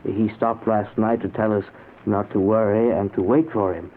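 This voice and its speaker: Male actor as resigned ghostly husband, apologetic, lowish voice, old radio play, noisy tinny recording. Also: steady tone, moderate pace, natural voice. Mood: calm explaining. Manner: mild, apologetic, reassuring. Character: mild, polite dead husband, calmly resigned. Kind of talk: old-time radio drama acting.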